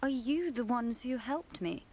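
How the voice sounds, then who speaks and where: An actress as a high, posh British noblewoman. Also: measured pace, warm tone, slight background noise, close to an everyday voice. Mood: formal, guarded. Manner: formal, stately, aloof. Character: formal, haughty young noblewoman, dignified and reserved. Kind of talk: video game cutscene acting.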